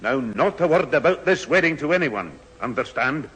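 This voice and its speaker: An older man playing a gruff, proud Scottish grandfather who scolds, in a radio sitcom. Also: a little high, animated, moderate pace, fairly bright tone. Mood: stern, offended. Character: gruff, proud, easily offended old grandfather. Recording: reverberant old radio studio recording.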